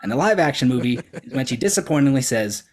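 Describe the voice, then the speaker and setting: Sarcastic man arguing his case, mid-pitched. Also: lively intonation, fast, a little mellow. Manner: sarcastic, emphatic, casually sweary. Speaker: debater on a movie show. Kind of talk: prepared persuasive argument with sarcastic jabs.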